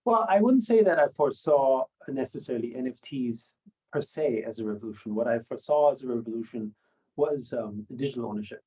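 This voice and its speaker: A German native speaker in his fifties, from Austria, talks in a measured, reflective way and hedges often. His voice is mellow and warm, and its pitch sits in the middle range for a man. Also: animated, rough recording. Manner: measured and reflective, many hedging fillers. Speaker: company founder and guest. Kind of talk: podcast interview.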